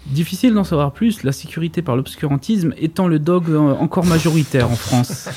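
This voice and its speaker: A man's voice: fairly high pitch, brisk, dark tone, husky. A man reading a prepared tech segment on a radio show, dropping dry, ironic asides.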